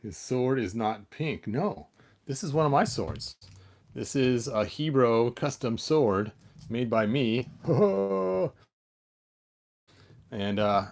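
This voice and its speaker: A man's voice: medium pitch, expressive, slow, gravelly, pauses often, a little mellow. A man chatting casually and playfully as he hosts a hobby video, often muttering to himself as he handles toys. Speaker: toy collector hosting a story-time video. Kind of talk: casual chat while handling action figures.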